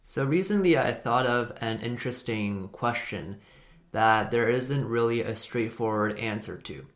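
A man's voice: mid-range voice, measured pace. A young man whose first language is Chinese talks casually about learning languages. He rambles a little and often corrects himself mid-sentence. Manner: relaxed, rambling, self-correcting. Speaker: language video host. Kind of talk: casual talk to camera.

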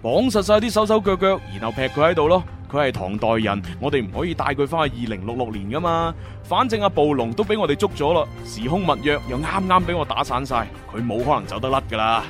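A man playing a young time-travel agent in a radio drama, pitched a little high. He is brisk and dutiful with a sweet-talking side, and music runs clearly underneath him. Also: expressive, natural voice. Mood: brisk, commanding. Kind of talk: Cantonese radio drama acting.

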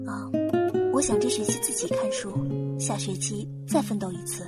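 A woman's voice: medium pitch, moderate pace, close to an everyday voice. A young woman acting an earnest, plaintive female law student in an audio drama. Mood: subdued, resigned. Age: young adult. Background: music with guitar.

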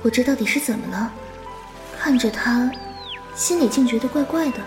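Wuxia audio drama: gentle, bashful young woman disciple voiced by an actress, high soft register, music bed. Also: expressive, normal speed, natural voice. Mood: bashful, confused. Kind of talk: Chinese wuxia radio drama acting.

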